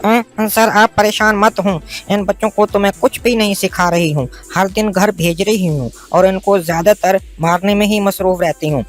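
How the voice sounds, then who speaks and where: Cruel, bossy cartoon schoolmistress, played by a woman, scornful and hectoring, mid-pitched, light music underneath. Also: steady tone, normal speed, hardly any pauses, close to an everyday voice. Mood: smug. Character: cruel, scornful, greedy woman schoolteacher who terrorises children. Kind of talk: Hindi cartoon story voice acting. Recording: music behind the voice. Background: faint music.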